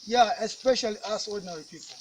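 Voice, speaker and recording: A man's voice: sits high, fast talker. A man with a Zambian accent in a casual interview, hesitant and conversational, repeating himself. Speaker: concerned citizen interviewee. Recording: noisy outdoor ambience with insects.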